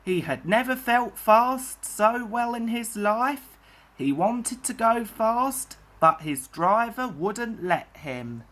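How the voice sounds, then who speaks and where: A woman's voice: fairly high pitch, very animated, takes it slowly, fairly bright tone. A woman reading a children's story aloud as scripted narration.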